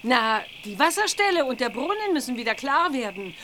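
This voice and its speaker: Protective witch mom, high voice, firm, motherly, noisy backdrop, children's audio drama. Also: very animated, normal speed, sharp tone, full character voice. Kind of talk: children's audio play acting.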